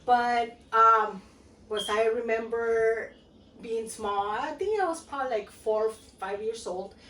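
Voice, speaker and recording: A woman's voice: on the high side, takes it slowly, a bit bright. A woman from Guatemala, perhaps in her thirties, chatting casually with lots of fillers while she shows a recipe in her home kitchen. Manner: chatty, rambling, many fillers and "okay?" check-ins. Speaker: home cooking vlogger. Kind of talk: casual home cooking demonstration. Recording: roomy, mic at a distance, faint room noise.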